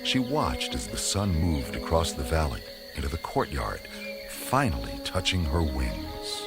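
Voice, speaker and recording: A man narrating a scripted radio drama as a storyteller, with deliberate phrasing. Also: rumbling low, huge pitch swings, creaky. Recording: loud music under the voice.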